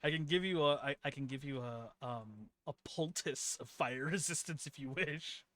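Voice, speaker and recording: A man's voice: medium pitch, normal speed, a bit bright, no echo. A man running a tabletop game as game master, chatting casually and laughing often between his words. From Philippines heritage.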